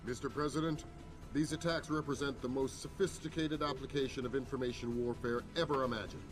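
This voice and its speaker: An actor playing an analytical senior intelligence adviser in a video game, measured, articulate and serious. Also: male voice, mid-range voice, steady tone, music in the background, natural voice. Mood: grave, measured. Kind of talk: video game cutscene acting.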